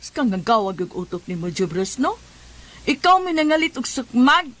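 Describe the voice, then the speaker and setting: An actress with a Cebuano Filipino accent playing an exasperated woman who scolds with drawn-out, emphatic words in a comedy radio drama. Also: fairly high pitch, sing-song, a bit bright, slight background noise. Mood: scolding. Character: exasperated scolding woman nagging a hot-headed man.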